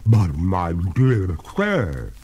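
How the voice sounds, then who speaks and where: A man voicing a haughty female water ghost in a storybook, with formal, melodramatic, drawn-out speech. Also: low-pitched, huge pitch swings, a little mellow, husky, full character voice. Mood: reluctant, suffering.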